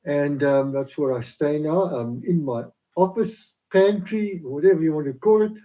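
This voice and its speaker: A South African man, Afrikaans his first language, giving a rambling, halting devotional talk into a laptop camera in his small home office. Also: on the high side, mellow. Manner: rambling, halting with many fillers.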